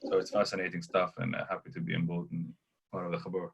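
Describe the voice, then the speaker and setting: Deep-voiced man from Israel, muffled call. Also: monotone, quick pace, gravelly, slightly rough. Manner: jokey, friendly. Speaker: class participant. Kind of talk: casual introduction. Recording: muffled video call audio.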